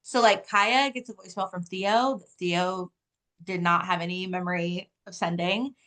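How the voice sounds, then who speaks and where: A woman's voice: lowish voice, normal speed. Chatty woman with a casual flow. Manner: animated storytelling, heavy use of "like". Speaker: author guest. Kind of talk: casual interview chat.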